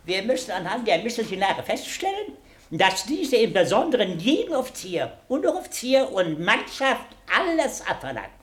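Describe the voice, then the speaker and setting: A man's voice: sits high, huge pitch swings, moderate pace, fairly bright tone, exaggerated voice. An actor playing a pompous, muddled male army general in a radio play, speaking in stiff bureaucratic phrases. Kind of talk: 1970s radio play acting. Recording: echoey, noisy old radio recording.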